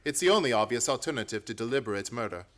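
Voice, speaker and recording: Man playing severe detective with sweeping, animated delivery. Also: mid-range voice, racing, close to an everyday voice. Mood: decisive. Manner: clipped, imperious, theatrical. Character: brilliant, severe, self-assured male English detective. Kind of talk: radio play acting.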